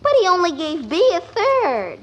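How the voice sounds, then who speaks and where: Very high-pitched puzzled little girl. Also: wildly swooping pitch, moderate pace, fairly bright tone, lo-fi sound, slight background noise, exaggerated voice. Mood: earnest. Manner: questioning, earnest. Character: literal-minded, puzzled little schoolgirl, stubbornly questioning. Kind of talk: 1950s radio comedy acting. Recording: vintage radio broadcast recording.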